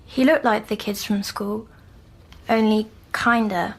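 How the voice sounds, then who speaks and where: A woman playing a timid, dreamy young girl patient who recounts her nightmares in a hushed audio drama. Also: medium pitch, fairly even intonation, slow pace, slightly rough. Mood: earnest, subdued.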